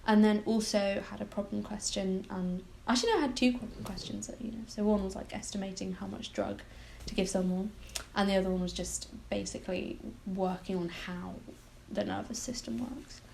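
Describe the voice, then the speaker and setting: A woman's voice: mid-range voice, fairly even intonation, brisk, creaky, a little mellow, slight background noise, rough recording. A young woman of about twenty, a medical student, chatting about her interviews with frequent likes and ums. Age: around 20. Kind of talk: casual interview answers.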